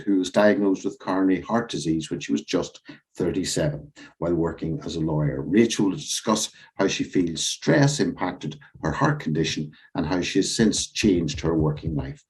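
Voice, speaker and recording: A man hosting an online health webinar, reading a script in a measured way with small slips and fillers. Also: deep voice, darkish tone. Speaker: host.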